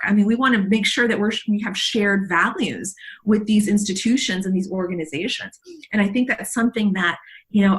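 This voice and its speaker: A woman in her forties from the South Texas Mexican border, Spanish her first language, a leadership coach answering interview questions in a rambling way full of fillers and restarts. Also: medium pitch.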